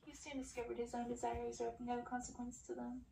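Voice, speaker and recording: A woman's voice: fairly high pitch, monotone, a little fast, vocal fry, warm tone. A woman reading a story aloud in an ASMR narration style. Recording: roomy, distant, faint background noise.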